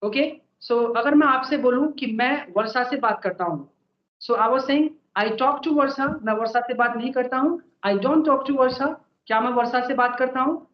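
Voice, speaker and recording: A man's voice: very high voice, rapid-fire, reverberant, lo-fi sound. A young man with an Indian accent, native Hindi speaker, explaining step by step in casual talk and tagging his sentences with "okay" and "right". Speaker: guest English learner sharing tips. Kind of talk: conversational advice.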